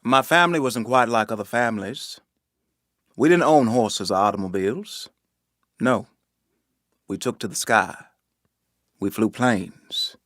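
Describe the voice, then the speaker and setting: An actor playing a wistful young man, telling memories to the audience in a reflective theatrical monologue with dramatic pauses. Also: mid-range voice, fairly bright tone, dry recording, studio quality, lightly acted. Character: wistful young man remembering his flying family.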